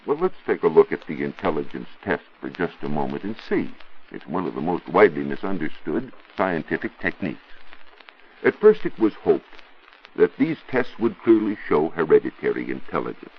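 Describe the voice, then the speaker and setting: American man, 1940s radio science explainer. Husky, animated delivery, didactic and formal, dull vintage recording. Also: extremely low pitch, normal speed. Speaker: science reporter on a radio show. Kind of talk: scripted 1940s educational radio talk. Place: radio studio.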